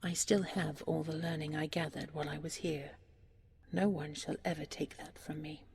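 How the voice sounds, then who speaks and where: A woman voicing a humble, devoted young fairy-tale hero in a soft, earnest, measured way. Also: deep voice, fairly even intonation, gravelly. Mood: resolute.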